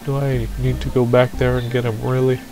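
A man's voice: medium pitch, level tone, fairly quick. A man giving casual video game commentary, thinking aloud in a musing, self-deprecating way. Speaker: gamer, let's play host. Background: video game music.

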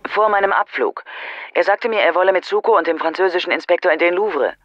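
A woman's voice: lowish voice, fast talker. An actress playing a concerned, businesslike female investigator on the telephone in an audio drama. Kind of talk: radio drama phone dialogue. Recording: dull telephone line.

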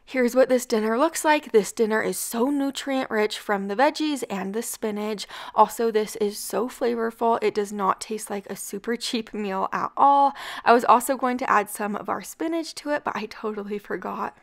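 A woman's voice: on the high side, moderate pace, vocal fry, few pauses. A young woman chattily narrating a home cooking video, walking through each step of the recipes. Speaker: cooking channel host. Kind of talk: casual recipe voice-over narration.

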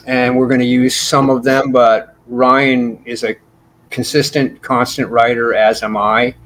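An older man chatting on a video call from a small room at home, talking at length and drifting from story to story. His voice sits in an ordinary middle pitch, relaxed and unhurried. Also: rough recording. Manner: talkative, rambling storyteller. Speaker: bassist guest. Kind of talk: casual interview chat. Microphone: video call with desk mic.

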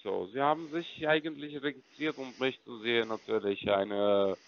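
A man cold-calling about investments, speaking in halting, broken German. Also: medium pitch, muffled recording. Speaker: call center agent. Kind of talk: sales phone call.

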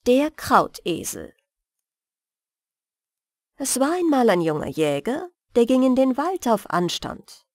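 A woman narrating a bedtime fairy tale as an audiobook, careful and expressive. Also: a little high, takes it slowly, long pauses, no room sound, clean studio sound.

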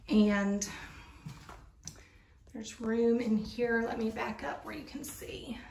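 Woman, slightly high voice, casual rambling home-tour vlogger on a handheld phone. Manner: chatty, rambling, many fillers. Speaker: vlogger touring a mobile home. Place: inside an empty model home. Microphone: handheld phone camera.

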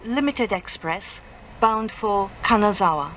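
A woman announcer of medium pitch reads a formal train announcement extremely slowly. Each phrase is measured and carefully enunciated. Train running noise is clearly audible behind her. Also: lively intonation, a bit bright. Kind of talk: recorded scripted train announcement.

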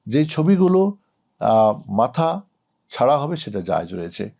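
A man's voice: medium pitch, very animated, a little slow, gravelly, dark tone. A middle-aged man speaking as an Islamic cleric, giving a didactic, emphatic religious answer to a question. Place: indoor studio set.

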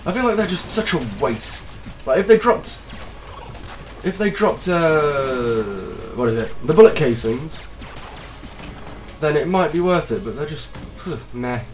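A man with a slight cold, casually commentating his gameplay as a let's play host, excitable and self-deprecating. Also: fairly high pitch, measured pace, far from the mic, faint music behind.

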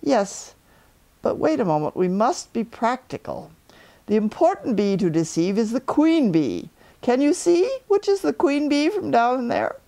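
A woman voicing an earnest, anxious little toy bear in a children's storybook, speaking in deliberate, slightly pompous phrases. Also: on the high side, sing-song, warm tone, voice pushed up. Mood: anxious, practical. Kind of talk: children's storybook read aloud.

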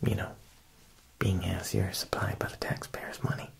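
A young man playing an Italian mob boss tailor in a whispered ASMR roleplay, with an Italian-American accent and a smooth, insinuating manner. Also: sits low, little pitch movement, heavily acted. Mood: quietly menacing. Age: young adult. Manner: slow, hushed, insinuating, with pauses.

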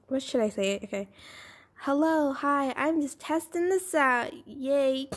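A woman with a high voice hosts a software tutorial. She talks casually, explaining each step as a voice-over. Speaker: tutorial host.

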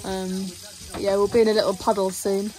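A woman, chatting softly while filming aboard a small sailing boat. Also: mid-range voice, flat delivery, moderate pace. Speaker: vlog co-host filming. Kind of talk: casual vlog chat. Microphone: handheld phone camera. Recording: noisy boat sound.

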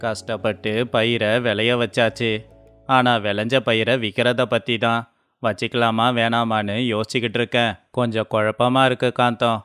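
A man acting an earnest, indecisive village farmer husband in a moral story cartoon, speaking plainly and deliberately. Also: medium pitch, flat delivery, fairly bright tone, smooth, no room sound, faint music behind, natural voice. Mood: worried, pondering. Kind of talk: acted dialogue in a Tamil moral story cartoon.

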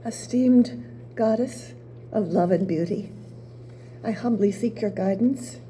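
An older woman reading the part of Psyche, an innocent young mortal woman, in a stage reading of her play, her lines halting and eager with stumbling restarts. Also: mid-range voice, dark tone, slight background noise, lightly acted. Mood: humble pleading. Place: small theater stage with audience. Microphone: table mic.

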